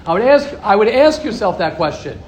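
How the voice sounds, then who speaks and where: High-voiced man giving an emphatic Torah talk. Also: very animated, fairly quick, darkish tone, echoey room, a little hiss or hum. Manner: emphatic, repetitive, rhetorical questions. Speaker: rabbi giving a class. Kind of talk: Torah lecture to a group. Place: room with a live audience.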